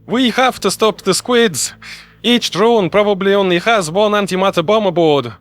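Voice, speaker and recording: A man's voice: high-pitched, normal speed, no room sound, voice pushed up, close to an everyday voice. A man voicing a worried human officer aboard a warship in a sci-fi audio story. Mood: worried, urgent. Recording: music behind the voice.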